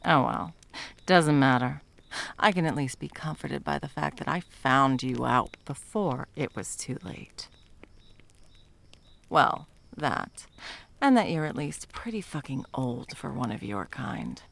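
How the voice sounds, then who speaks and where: A woman's voice: very deep voice, expressive, slow pace, creaky, a bit bright, full character voice. A woman playing a bitter, sarcastic monster hunter and betrayed lover in a dramatic ASMR roleplay, mocking and foul-mouthed. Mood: smug, cold.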